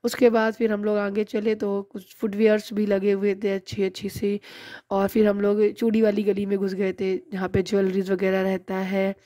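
A woman's voice: medium pitch, monotone, moderate pace, few pauses, warm tone, no room sound. A woman in her thirties narrating her own shopping vlog in a chatty, explaining way. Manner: chatty, explains prices and bargaining. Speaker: vlogger. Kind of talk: casual vlog voice-over narration.

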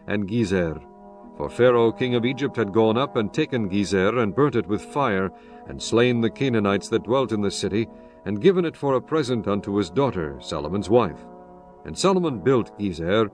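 A man narrating dramatized scripture in a solemn, measured, declamatory way, as a scripted reading. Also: fairly low pitch. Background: music bed.

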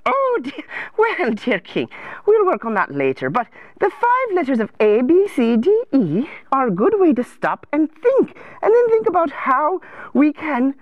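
A high-pitched, fussy advisor acted by a woman in a children's moral lesson. The voice is sing-song and coaxing, patiently instructive, swooping widely in pitch in a dry, close recording. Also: huge pitch swings, unhurried, no room sound, lo-fi sound, full character voice.

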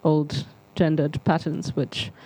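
A woman's voice: sits low, level tone, slow, warm tone, poor audio. A middle-aged woman speaking spontaneously into a handheld microphone as an academic panelist, explaining and repeating words as she builds her points. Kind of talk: spontaneous panel discussion remarks. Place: seminar auditorium on stage.

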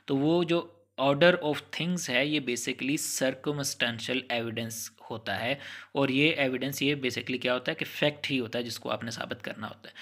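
A man's voice: medium pitch, a bit bright. A man with a Pakistani accent lecturing as a teacher, explaining step by step with examples and restatements and mixing in English technical terms. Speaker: law lecturer.

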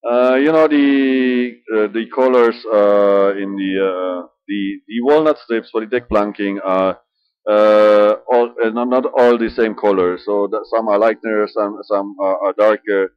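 A middle-aged man with a German accent, speaking halting English at home with many filler sounds and restarts. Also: fairly low pitch, steady tone, smooth, dry recording. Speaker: model ship builder. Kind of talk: casual hobby vlog. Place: small room indoors. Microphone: webcam at desk. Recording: dull lo-fi webcam audio.

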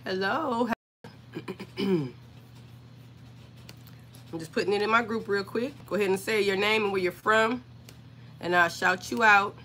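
A woman in her forties, hoarse and scratchy from being sick, chatting on a livestream from her home craft room and greeting viewers by name. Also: mid-range voice, a little slow, pauses often, sharp tone. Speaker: craft channel host.

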